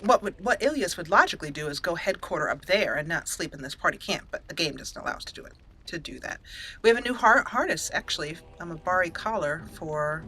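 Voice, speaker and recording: A woman hosting a casual let's play, chatty and thinking aloud as she goes. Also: lowish voice. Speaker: host, gamer. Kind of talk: casual let's play commentary with roleplayed dialogue. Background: soft game music.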